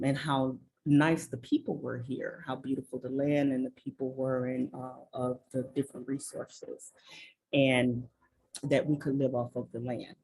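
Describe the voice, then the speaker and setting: Michigan woman on a video call, husky mellow voice, talks slow and earnest w lots of ums. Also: rumbling low, rough recording. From Michigan, USA. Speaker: interview guest. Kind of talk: reflective personal storytelling. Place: rural village in southern Belize.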